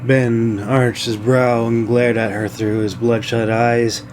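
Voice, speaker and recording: A man's voice: medium pitch, little pitch movement, takes it slowly, darkish tone, slight background noise. Man narrating a fantasy audiobook. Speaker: author narrating his audiobook. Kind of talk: scripted fantasy story narration.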